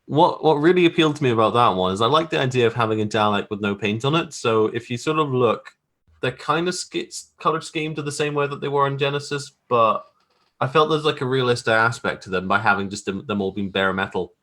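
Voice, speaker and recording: A man's voice: mid-range voice, brisk, fairly bright tone. A man chatting casually as an interview guest, modest and hesitant, circling back over his phrases. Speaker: guest graphic artist.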